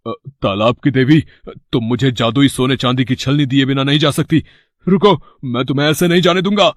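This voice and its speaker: A greedy elder brother, acted by a man, with a mid-pitched voice and whiny, theatrical delivery. He is a false-sweet schemer from a moral tale, recorded dry and close. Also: a little fast, no room sound, natural voice. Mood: desperate panic.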